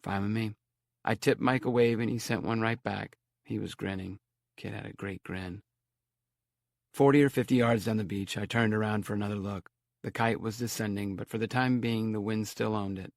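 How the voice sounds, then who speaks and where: A man's voice: on the low side, fairly even intonation, moderate pace, gravelly, no echo, clean studio sound. A man reading scripted first-person story narration as an audiobook narrator.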